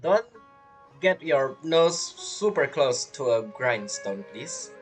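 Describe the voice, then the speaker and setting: A woman's voice: a bass voice, animated, slow. A woman giving casual, playful commentary on a video game as she plays, teasing and reacting to the dialogue. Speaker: gamer playing and commenting. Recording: faint game music behind.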